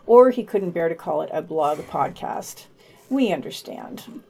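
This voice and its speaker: A woman's voice: fairly low pitch, expressive, measured pace, vocal fry, darkish tone, husky. A woman co-hosting a podcast, reading scripted summaries and reviews with her own commentary.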